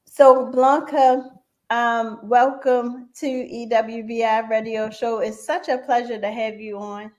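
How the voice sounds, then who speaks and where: Slow-paced woman hosting a radio interview. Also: on the high side, little pitch movement, a little mellow. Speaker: radio show host.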